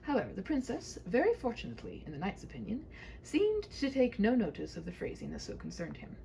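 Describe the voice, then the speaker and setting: A woman's voice: medium pitch, wildly swooping pitch, brisk, vocal fry, warm tone, a little hiss or hum, low-quality recording. A woman reading a humorous storybook aloud as narrator, with wry, theatrical delivery.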